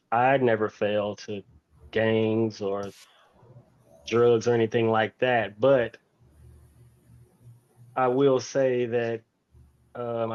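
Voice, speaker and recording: Slower-talking man in his forties, slightly dull audio. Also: medium pitch. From Fort Worth, Texas, United States. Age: 40s. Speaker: interview guest, teacher. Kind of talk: personal storytelling in an interview.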